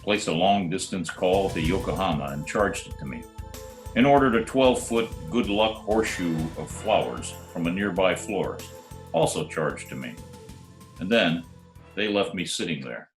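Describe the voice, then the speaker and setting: A man's voice: deep voice, normal speed. An actor playing a wry, hard-boiled private detective who narrates his own case in an old-time radio drama. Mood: deadpan. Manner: wry, deadpan wisecracking. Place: at home, recorded remotely. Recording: roomy remote home recording, music behind.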